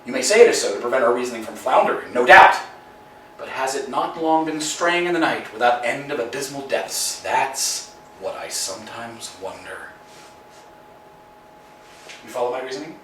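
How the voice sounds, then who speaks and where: A man's voice: medium pitch, animated, moderate pace, bright, forceful tone, slight background noise. An actor playing a restless, philosophizing male tramp who talks in wordy, musing speeches that swing between elation and gloom, in a staged play reading. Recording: roomy echo, voice far from mic.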